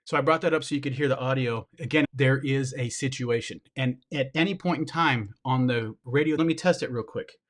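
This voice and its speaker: A man's voice: medium pitch, a little fast, few pauses. A man giving a casual product review and demonstration, talking informally to viewers as he handles the gear.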